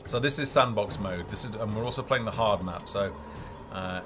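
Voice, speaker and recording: A man's voice: on the low side, moderate pace. A man giving live gameplay commentary as a developer and stream host, thinking aloud hesitantly. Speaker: game developer hosting a livestream. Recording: game music behind the voice. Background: game music.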